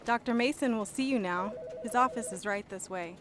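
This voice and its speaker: A woman's voice: on the high side, moderate pace, close to an everyday voice. A woman playing a polite, efficient hospital nurse in an audio drama. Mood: polite, brisk. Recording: clear background noise.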